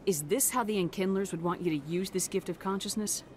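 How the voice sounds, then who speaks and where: A woman's voice: mid-range voice, fairly quick, a little mellow, slight background noise, close to an everyday voice. A woman playing a composed, assertive military hero in a video game, speaking firmly and directly. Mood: diplomatic. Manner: direct, firm. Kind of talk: video game character acting. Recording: game music behind the voice.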